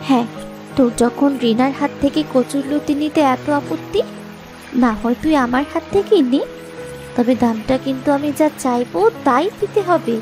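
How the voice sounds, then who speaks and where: A woman voicing a bold, sharp-tongued, protective village sister-in-law in a cartoon, assertive in manner. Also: high voice, moderate pace, music in the background, close to an everyday voice. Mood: challenging, firm. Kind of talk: cartoon moral story voice acting.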